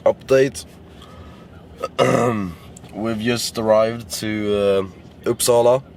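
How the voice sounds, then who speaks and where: A tired young man with a hoarse, worn-out voice, chatting casually to the camera in a rambling, halting way. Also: on the low side, steady tone, darkish tone, a little hiss or hum. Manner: rambling, halting with many fillers. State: hoarse, lost voice. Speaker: vlogger. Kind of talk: casual vlog chat. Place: in a car.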